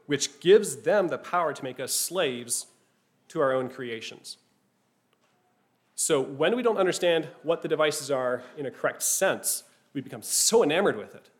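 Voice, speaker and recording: A man teaching a class, speaking thoughtfully, often restarting phrases and checking in with "right?". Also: on the high side. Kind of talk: classroom lecture.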